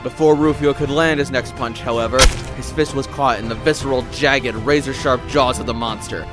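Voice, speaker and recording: A man's voice: medium pitch, fairly even intonation, normal speed. A man giving a theatrical, intense scripted narration as a storyteller. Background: music.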